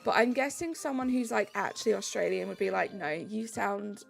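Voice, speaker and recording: A woman's voice: mid-range voice, normal speed, vocal fry. A British woman streaming a game, chatting casually and reading out her viewers' messages in a bubbly way. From Britain. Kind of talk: casual live-stream chat, reading chat aloud. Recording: light music behind the voice.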